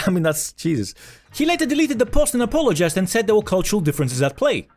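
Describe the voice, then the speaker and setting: A man's voice: sits high, sing-song, quick pace, loud music behind the voice. A man hosting a scripted esports news video in a casual, sarcastic, jokey way with some swearing. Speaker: video host.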